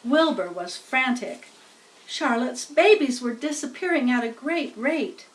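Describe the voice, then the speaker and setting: Female children's book narrator, slow and deliberate, higher-pitched, slightly off the mic. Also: expressive, distant mic, rough recording. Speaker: storybook reader.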